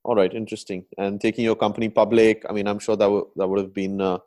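A man hosting a podcast, asking interview questions in a relaxed, conversational way. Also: on the low side, quick pace. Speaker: podcast host.

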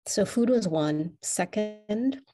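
A young woman from Kenya with a slightly Americanized Kenyan English accent, speaking in a measured, reflective way as a guest in an interview. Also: mid-range voice, animated, mellow. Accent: Kenyan English, partly Americanized. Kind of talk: personal interview.

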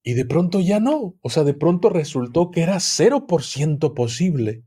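Hombre mexicano reflexivo que explica con ejemplos. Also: male voice, fairly high pitch, very animated, normal speed, dark tone, slightly rough. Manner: reflective, explains with everyday examples, laughs often. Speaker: podcast guest, occultism channel host. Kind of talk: casual interview chat.

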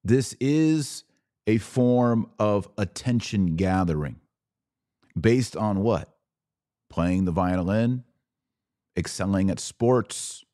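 A middle-aged American man with a fairly low voice and a very slow, measured pace. He argues like a commentator, pressing points emphatically and posing rhetorical questions. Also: animated, warm tone. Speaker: podcast host. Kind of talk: opinion commentary on played clips.

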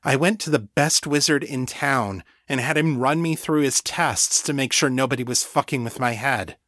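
A man's voice: medium pitch, normal speed, fairly bright tone, dry recording, voice pushed up, natural voice. A man voicing a proud, headstrong woman in an audiobook, blunt, emphatic and defiant. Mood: defiant, angry.

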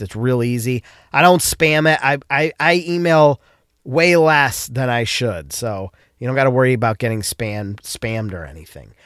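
A man's voice: medium pitch, a bit bright, no echo, studio quality. Stuffed-up man hosting a podcast. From upstate New York. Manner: loose, rambling, with frequent restarts. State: stuffed-up nose from a cold. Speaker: podcast host. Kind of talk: casual solo podcast talk.

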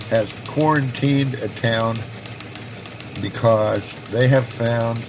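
Casting: man reading podcast news casually, unhurried and halting, mid pitch, slight noise behind. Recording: faint background noise.

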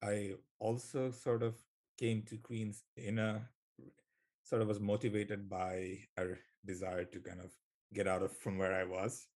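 A man's voice: lowish voice, flat delivery, unhurried, darkish tone. A young man from Bangladesh, a graduate student, talking in a thoughtful, hesitant way on a podcast. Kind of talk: conversational podcast talk.

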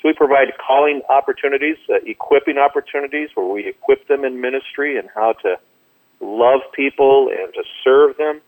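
A man of medium pitch gives interview answers as a ministry guest. He explains in a measured way, and his voice comes through a narrow, tinny telephone connection. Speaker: ministry director, radio guest. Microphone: telephone call. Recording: tinny narrow-band phone line.

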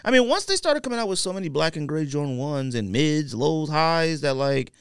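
A man's voice: a little high, big pitch swings, fairly quick, clear, resonant tone, no room sound, studio quality. A man co-hosting a podcast in casual, slangy banter. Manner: informal, slangy talk. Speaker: podcast co-host.

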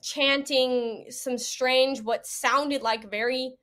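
A woman's voice: high voice, steady tone, takes it slowly, a bit bright. A woman giving a personal account as an interview guest, earnest, conversational and emphatic. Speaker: podcast guest, event attendee.

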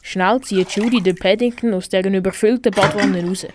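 A woman's voice: mid-range voice, fairly even intonation, moderate pace. A teenage girl narrates a children's radio play from a script. She has a Swiss German accent and a mid-range voice. There is faint background noise.

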